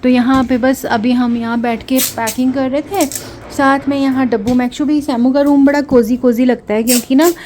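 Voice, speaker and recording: A woman's voice: a little high, a little mellow. Chatty woman vlogging, faint background hum. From Punjab. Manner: chatty, homely. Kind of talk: casual vlog to camera.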